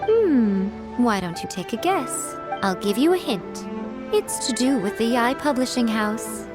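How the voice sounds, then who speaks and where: Sly, composed fox-spirit shrine maiden. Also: female voice, a little high, expressive. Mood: teasing. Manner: composed, teasing, self-assured, deliberate. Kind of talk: anime game voice acting. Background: background music.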